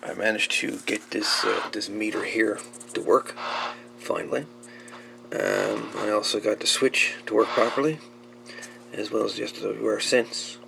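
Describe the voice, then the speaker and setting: A man with a heavily creaky, low voice gives a casual repair update. His delivery is folksy and halting, clearly unscripted. A faint noise sits behind him. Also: level tone. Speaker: hobbyist radio repairer presenting own channel.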